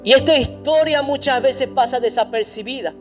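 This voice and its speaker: A man's voice: extremely high pitch, moderate pace, music in the background. A pastor preaching a sermon in a church, emphatic and repetitive, speaking straight to his congregation. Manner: addresses the congregation directly.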